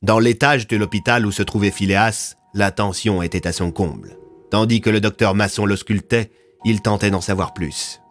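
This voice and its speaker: A man's voice: lowish voice, moderate pace, faint music behind. A man narrating a scripted audio fiction story.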